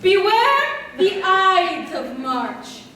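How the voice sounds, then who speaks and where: A woman's voice: very high-pitched, very animated, drawn-out words, bright, forceful tone, full character voice. A young woman acting a melodramatic, declamatory prophetess of doom in a live amateur stage comedy. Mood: portentous. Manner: declamatory, portentous. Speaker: actor in a school play. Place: large indoor stage in an auditorium. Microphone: distant camera microphone. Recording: echoey auditorium from far off, noisy.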